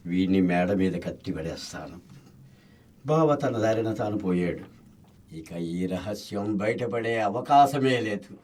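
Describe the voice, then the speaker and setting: A man's voice: on the low side, expressive, a little slow, darkish tone. A man playing an imperious, sly, murderous schemer in a radio drama, commanding and gloating. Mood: gloating, scheming.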